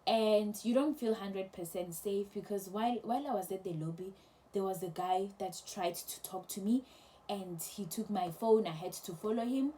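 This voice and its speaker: A young woman casually telling a travel story to camera, chatty and animated, with many restarts. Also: medium pitch, normal speed, a little hiss or hum. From South Africa. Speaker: travel vlogger.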